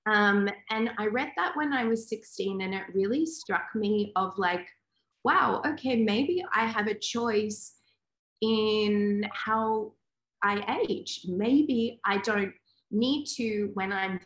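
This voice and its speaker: A woman's voice: mid-range voice, slow, a bit bright. A woman in her forties speaking as an interview guest, reflective and loose, with frequent restarts and fillers. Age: 40s. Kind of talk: relaxed interview talk. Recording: roomy echo.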